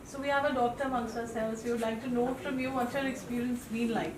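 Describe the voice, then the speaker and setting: Chatty woman interviewer, slightly high voice. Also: steady tone, quick pace. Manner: friendly, chatty prompting. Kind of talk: event interview, English mixed with Hindi. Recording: echoey venue, distant mic, noisy.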